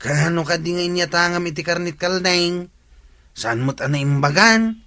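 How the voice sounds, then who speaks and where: A man's voice: sits high, moderate pace, pushed and bright. An actor playing an incredulous, scolding village man in an Ilocano comedy radio drama.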